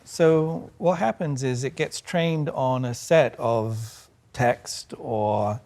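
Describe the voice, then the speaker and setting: A man's voice: medium pitch, takes it slowly, darkish tone. A man speaking as a computing professor, plainly explaining ideas to a panel audience.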